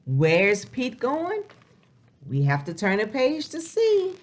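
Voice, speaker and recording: A woman reading a picture book aloud to young children, expressive and sing-song, posing questions as she goes. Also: on the high side, takes it slowly. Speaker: children's storybook reader.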